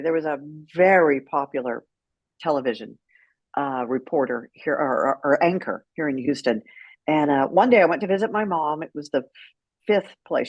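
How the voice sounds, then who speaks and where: A middle-aged American woman telling candid personal stories as an interview guest, frank and unfiltered, with dramatic pauses for emphasis. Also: sits low, dry recording, lo-fi sound. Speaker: guest, writer and former radio personality.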